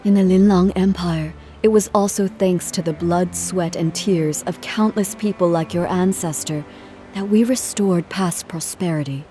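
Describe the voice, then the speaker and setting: Woman playing a low-voiced, mellow, dignified performer. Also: fairly even intonation, lightly acted. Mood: reflective. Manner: measured, gracious, formal. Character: courteous, reflective travelling performer, a dignified woman. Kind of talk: video game voice acting. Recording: music under the voice.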